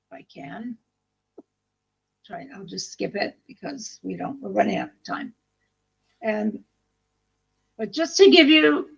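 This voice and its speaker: A woman gives a conference talk about her literacy classes in an earnest, enthusiastic way. Her voice sits a little high and has a husky edge. She often restarts her phrases. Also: rough recording. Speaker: adult literacy program presenter. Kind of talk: conference presentation.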